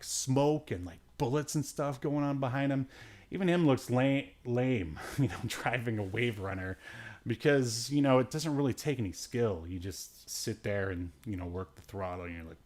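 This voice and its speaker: A man's voice: mid-range voice, expressive, moderate pace, darkish tone. A man hosting a podcast, recapping in casual chat with a rambling, jokey, irreverent manner and offhand, slangy phrasing. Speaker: podcast host.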